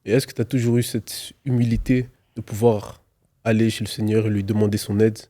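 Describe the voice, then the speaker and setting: Guy hosting an interview, low soft mellow voice, calm, asking questions. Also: brisk, no echo. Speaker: host, interviewer.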